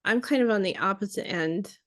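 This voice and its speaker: A woman's voice: medium pitch, brisk, fairly bright tone, no room sound. Chatty woman in her forties, dry sound. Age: 40s. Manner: chatty, laughs often, many restarts. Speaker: podcast co-host. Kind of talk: casual chat.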